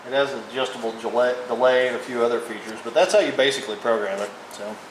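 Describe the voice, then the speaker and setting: Male presenter for an unscripted tech walkthrough, talks through each step casually, echoey room with clear background noise. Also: mid-range voice, fast. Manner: unscripted, thinking aloud while operating a device. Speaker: presenter of a vintage electronics show. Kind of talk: casual hands-on demonstration. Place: indoors at a table. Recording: roomy, noisy, radio static behind.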